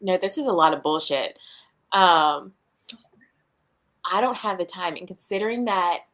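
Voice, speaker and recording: A woman's voice: fairly low pitch, measured pace, a bit bright. A woman chatting casually about books on a livestream, with a blunt, exasperated way of talking. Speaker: book reading livestream host.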